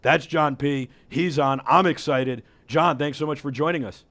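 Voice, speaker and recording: A man in his thirties hosting a talk show in a studio, hoarse from a cold, talking with upbeat energy into a headset mic. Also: fairly high pitch, moderate pace. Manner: energetic, enthusiastic promo patter. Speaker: host. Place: studio with city backdrop.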